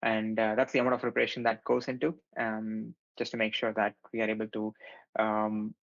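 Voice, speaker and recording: A man's voice: fairly low pitch, monotone, a little fast, vocal fry, muffled recording. A man on a work panel speaking hesitantly, often adding "right?". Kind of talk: casual work talk.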